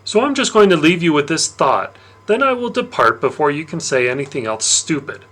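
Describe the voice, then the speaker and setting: A man voicing a plump, jovial god of wine and parties with menace underneath, in a theatrical, condescending storybook manner with drawn-out emphasis. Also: on the high side, very animated, fairly bright tone, pitched above the performer's own voice. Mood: stern warning.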